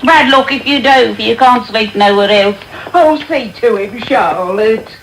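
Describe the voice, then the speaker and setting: An actress playing a bossy, stingy, sharp-tongued undertaker's wife with an English accent, scolding brusquely in a radio play. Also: female voice, fairly high pitch, a little slow. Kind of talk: British radio drama acting. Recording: noisy, crackly medium-wave radio tape.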